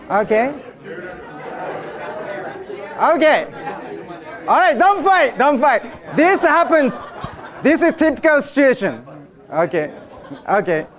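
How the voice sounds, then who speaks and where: A man with a Japanese accent gives a humorous talk to a live audience, getting people to join in. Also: sits high. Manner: jokey, drives audience participation. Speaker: speaker at an event. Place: event room with an audience.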